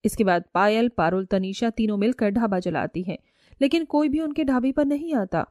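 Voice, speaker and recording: Woman, story narrator, scripted delivery, soft dark timbre, very dry close sound. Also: fairly high pitch, animated, moderate pace, dry recording.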